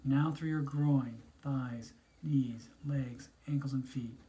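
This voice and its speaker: Male guided-imagery narrator. Dark, relaxed tone, soothing instructional read with spaced phrases. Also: medium pitch. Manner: slow, soothing, instructional delivery with pauses between phrases. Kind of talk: guided meditation, scripted narration.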